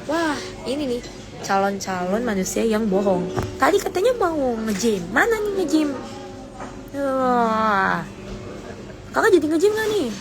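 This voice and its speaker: A woman's voice: sing-song, a little slow, over music. A teenage girl hosting a casual phone livestream, reading comments and teasing her viewers playfully. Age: teens. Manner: teasing, playful, rises high when mock-outraged. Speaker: livestream host.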